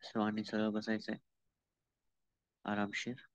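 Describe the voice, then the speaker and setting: A man with an Indian Marathi accent leading a guided meditation as a teacher, calm and unhurried, pausing between instructions. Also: on the low side, dry recording. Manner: calm, unhurried, with long pauses and repeated instructions. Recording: dull lo-fi audio.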